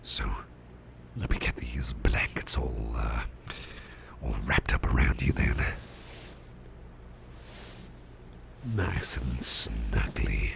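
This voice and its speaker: A man playing a caring, gentle boss in an ASMR roleplay, murmuring tender reassurance in breathy whispers with a gravelly rumble. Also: fairly even intonation, takes it slowly, full character voice. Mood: tender, reassuring. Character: caring, gentle male boss soothing a cursed employee.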